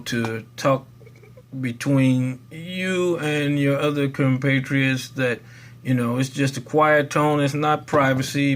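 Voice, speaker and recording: A man's voice: medium pitch, a bit bright. A man hosting a casual how-to demonstration in a folksy, rambling way. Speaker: tutorial video host.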